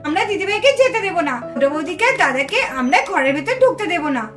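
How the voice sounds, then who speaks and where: A woman's voice: very high-pitched, very animated, quick pace, fairly bright tone, full character voice. A woman playing a stubborn, teasing young woman in a puppet show, with insistent, emphatic delivery. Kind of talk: animated puppet-show dialogue. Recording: music behind the voice. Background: music.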